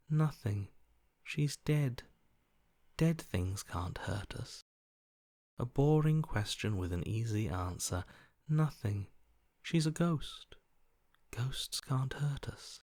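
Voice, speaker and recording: A man's voice: medium pitch, a little mellow, no echo, studio quality. A man voicing a cold, amoral voice inside someone's head in a video game, detached, matter-of-fact and dryly sardonic. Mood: dry, coolly amused. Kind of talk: video game character acting.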